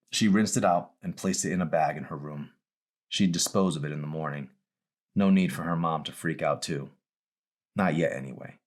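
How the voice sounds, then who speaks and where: Male novelist, low register, audiobook-style narration of his own story. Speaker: author reading his novel.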